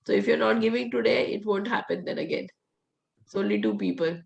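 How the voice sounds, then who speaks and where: A woman leads a coding class in a hoarse, fading voice with some gravel in it. Her delivery is direct and coaching, at a middle pitch. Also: fairly quick, a little mellow, highs cut off. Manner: direct, coaching, laughs often. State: voice nearly gone, hoarse. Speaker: VBA trainer. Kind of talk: live online class, teaching and answering questions.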